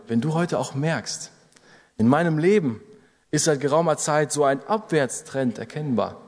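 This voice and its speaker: German preacher in a reverberant hall. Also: male voice, medium pitch, darkish tone. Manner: earnest exhortation with rising emphasis. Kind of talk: sermon.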